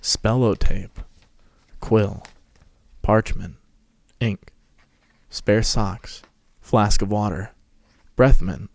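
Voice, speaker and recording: A man's voice: on the low side, very slow, pauses often, darkish tone, no echo. A man narrating a scripted story as a podcast host, reading it dramatically.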